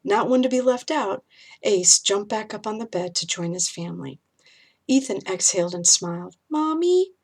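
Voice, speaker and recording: A woman speaking as an author, gently instructive, explaining and reading aloud in a measured storytelling style. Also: medium pitch, steady tone, slightly rough. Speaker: author and speaker on pet loss.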